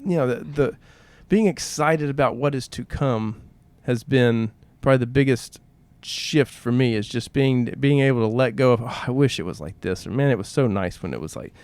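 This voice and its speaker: A man's voice: medium pitch, moderate pace, hardly any pauses, darkish tone, no room sound. Earnest man in dry-sounding podcast conversation. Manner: earnest, poses rhetorical questions, acts out quotes. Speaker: podcast co-host. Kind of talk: reflective conversational podcast talk.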